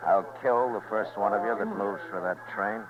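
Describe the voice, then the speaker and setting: An actor playing a stern, weary frontier marshal in a 1950s radio western, terse and firm. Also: male voice, lowish voice, fairly even intonation, normal speed, a crowd in the background, close to an everyday voice. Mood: firm, threatening. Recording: muffled 1950s radio recording.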